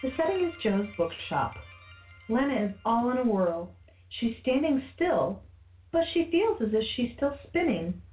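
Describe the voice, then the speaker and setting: A woman's voice: a little high, big pitch swings, measured pace. Woman narrator on phone-thin recording. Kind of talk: scripted radio play narration. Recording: phone-like narrow band, music behind.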